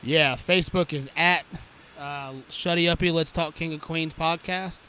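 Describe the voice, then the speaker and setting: A man's voice: fairly high pitch, fairly even intonation, moderate pace, pushed and bright, slight background noise. A man co-hosting a podcast, playful and teasing in casual chat. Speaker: podcast co-host.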